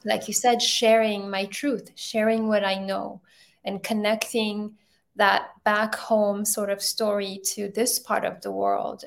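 A woman in her thirties from Ethiopia, talking in a thoughtful, halting way with many restarts, as an interview guest on a video call. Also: medium pitch, creaky. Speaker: filmmaker guest.

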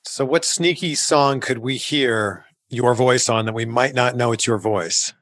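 A man's voice: medium pitch, a little slow, a bit bright. A man working as an interviewer, asking questions in a casual conversational style.

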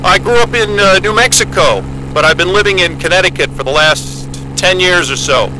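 A man's voice: high voice, normal speed, background noise, natural voice. An actor with an American accent playing an affable, candid male scientist new to Antarctica in an audio drama. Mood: friendly. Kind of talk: naturalistic audio drama acting.

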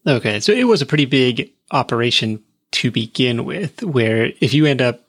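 A man's voice: medium pitch, animated, moderate pace, fairly bright tone, breathy edge, dry recording. A man hosting an interview, summing up what he hears and asking thoughtful follow-up questions. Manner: thoughtful, summarizes and asks follow-ups. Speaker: podcast host.

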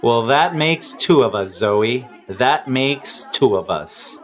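Flirty smooth ex, unhurried, pitch slides up and down a lot, coy, comedy audio drama. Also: male voice, lively intonation. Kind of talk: audio musical comedy acting.

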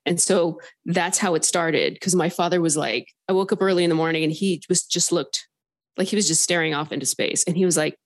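A woman from Southern California calling in to a podcast, telling her story in an animated, rambling way full of filler words. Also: fairly low pitch, brisk. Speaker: caller sharing a paranormal story. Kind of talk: casual storytelling on a phone-in podcast.

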